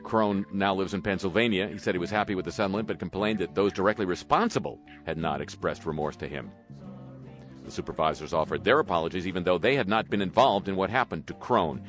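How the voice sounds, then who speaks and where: A man's voice: low-pitched, fast talker, fairly bright tone, faint music behind. A man hosting a talk show, reading news items with wry, sarcastic asides. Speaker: host. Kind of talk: radio talk commentary.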